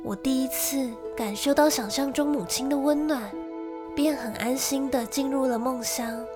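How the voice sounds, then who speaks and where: An actress playing a lonely young gentlewoman who tells of a frightening childhood in an emotive radio drama style. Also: high-pitched, fairly even intonation, normal speed, over music, lightly acted. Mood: wistful. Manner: storytelling, emotive.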